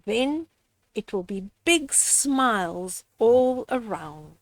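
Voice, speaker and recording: An older woman reading a picture book aloud to young children and explaining its lessons in a gently instructive way, speaking to them directly. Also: fairly high pitch, sing-song, drawn-out words, no room sound. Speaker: storyteller reading children's books. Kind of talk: read-aloud picture book with moral commentary.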